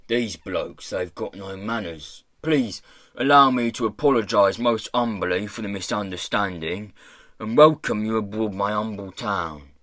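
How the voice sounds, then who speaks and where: A man voicing a rough pirate mayor in a storybook, blustering and bullying, with a fawning politeness toward gentlemen. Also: lowish voice, a little slow, no echo, clean studio sound. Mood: humbly fawning. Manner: working-class turns of phrase, swings from threats to humble politeness.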